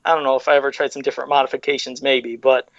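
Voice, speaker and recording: A man's voice: mid-range voice, flat delivery, racing, dry recording, muffled recording. A man hosting a podcast in easygoing, chatty casual talk. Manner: easygoing, chatty, laughs along. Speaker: podcast host. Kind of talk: casual chat.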